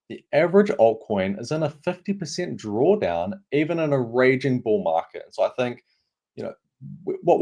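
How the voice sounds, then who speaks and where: A young man from New Zealand with a New Zealand accent, a guest explaining markets in a relaxed, upbeat way. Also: mid-range voice. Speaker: guest analyst. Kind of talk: casual explanatory interview.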